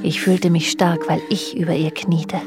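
A low-pitched woman narrates her own secret love story for a German radio play. She is wounded and brooding, telling it in measured literary sentences. Music runs clearly behind her voice. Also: level tone. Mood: bitter, controlled. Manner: controlled, literary first-person telling. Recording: radio drama with music underneath.

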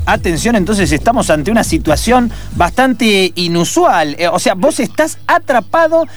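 A man's voice: high voice, lively intonation, normal speed, faint music behind. A man with a Buenos Aires accent playing a chatty late-night radio host in improvised radio theatre. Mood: concerned. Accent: Argentine, Buenos Aires.

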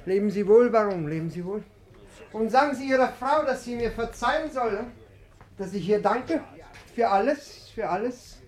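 An actor playing a gentle, grateful, insistent man in a 1950s radio drama, pleading and often repeating his words. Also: high-pitched, lively intonation, normal speed. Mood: moved.

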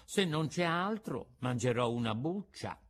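A man voicing a whiny, hungry boy puppet in a storybook reading, talking in a high, squeaky, pleading way. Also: lively intonation, measured pace. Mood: whining.

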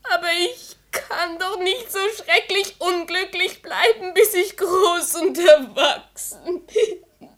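An actress playing an earnest, emotional teenage daughter, innocent and devoted, in a radio play. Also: female voice, very high voice, slow pace. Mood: sad, earnest.

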